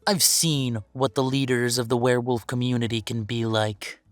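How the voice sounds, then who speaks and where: A woman's voice: a bass voice, fairly even intonation, normal speed, dry recording, faint music behind. A woman playing a bitter, defiant werewolf big brother estranged from the family, curt and confrontational, in an audio drama. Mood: firm. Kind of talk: scripted audio roleplay drama.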